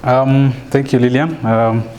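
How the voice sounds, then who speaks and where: A man in his thirties with a Kenyan accent, a guest in a studio interview, telling stories in a measured way with fillers and restarts, on a lapel mic. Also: mid-range voice, fairly even intonation, darkish tone, a little hiss or hum. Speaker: guest, author and business speaker. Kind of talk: storytelling interview answer. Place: studio set, seated in an armchair.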